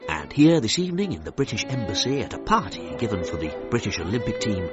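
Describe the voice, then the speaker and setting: Low-voiced formal radio reporter over music. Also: male voice, very animated, fast talker. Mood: composed. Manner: formal, announcing. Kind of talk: scripted 1930s broadcast narration in a radio drama. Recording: muffled, loud string music behind.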